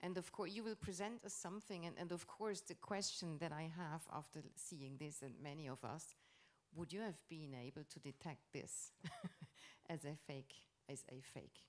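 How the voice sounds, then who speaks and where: Low-voiced woman moderating a panel. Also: normal speed.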